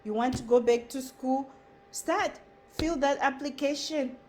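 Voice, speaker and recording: A woman with a Zimbabwean accent speaks to camera with earnest, emphatic energy and keeps repeating short phrases for stress. Her voice sits high. A faint hiss of background noise runs under the recording. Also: a little slow, darkish tone. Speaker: content creator. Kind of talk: motivational talk to camera.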